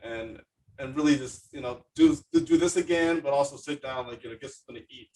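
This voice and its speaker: A man's voice: mid-range voice, brisk, darkish tone, far from the mic, rough recording. A man hosting a podcast in casual chat, effusive and hesitant, with many fillers. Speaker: podcast host.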